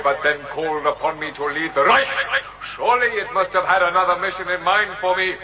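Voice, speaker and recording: An actor playing a fanatical dictator declaiming a slow political speech in a radio drama. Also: male voice, high-pitched, sharp tone. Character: fanatical male dictator orating to a crowd. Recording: echoing distant speech, faint noise.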